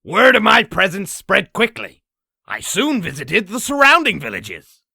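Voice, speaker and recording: A man playing a sinister, boastful old demon in a comic dub, telling tales in a raspy, gravelly, theatrical voice. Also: high-pitched, wildly swooping pitch, measured pace, sharp tone, no room sound, clean studio sound, full character voice. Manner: theatrical, grandiose storytelling. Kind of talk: comic dub villain acting.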